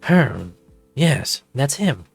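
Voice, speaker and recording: A man acts out a suave dragon lawyer from a visual novel. He is composed and self-assured, at a medium pitch. The sound is dry, with faint music behind. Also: sing-song, drawn-out words, gravelly, breathy edge, no echo, heavily acted. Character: suave, proud male dragon lawyer in a suit.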